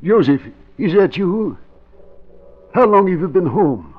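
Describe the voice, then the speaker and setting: An actor playing a distraught, flustered doctor in an old-time radio drama, husky, with agitated, halting exclamations. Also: male voice, high voice, sing-song, heavily acted. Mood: grave, upset. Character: flustered, distraught doctor heading a defense office. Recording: dull vintage radio recording.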